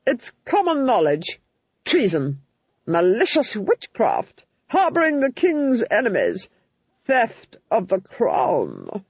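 A woman's voice: a little high, huge pitch swings, slow, darkish tone, husky, dry recording, pitched above the performer's own voice, heavily acted. A woman voicing a haughty, cruel Duchess, dull recording. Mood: cold menace. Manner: imperious, clipped commands. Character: haughty, cruel Duchess, menacing and coolly impatient. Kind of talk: audiobook character voice.

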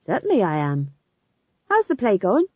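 A cocky, shrewd young actor-manager in a storybook, as a narrator puts him on, bossy and sure of himself. The delivery is lively and husky, with a dry, slightly dull sound. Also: mid-range voice, moderate pace, no echo. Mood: confident, teasing. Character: confident, shrewd, money-minded young actor-manager, man.

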